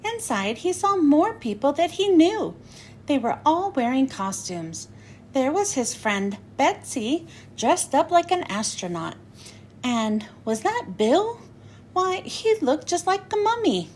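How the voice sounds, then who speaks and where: A woman reading a picture book aloud for children, in an expressive, sing-song storytelling manner. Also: sits high, takes it slowly, a little hiss or hum.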